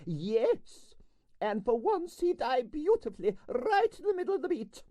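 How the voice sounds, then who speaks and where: A man voicing a vain, bossy older Russian ballerina in a storybook, with a Russian accent, shrill falsetto and dramatic broken English. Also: very animated, unhurried, dark tone, no echo, studio quality, full character voice. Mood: frank, emphatic.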